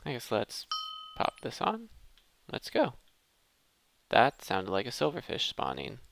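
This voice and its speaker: A man's voice: mid-range voice, wildly swooping pitch, measured pace, vocal fry, a bit bright, a little hiss or hum. A man giving casual, conversational gameplay commentary as a gaming host, thinking aloud as he plays.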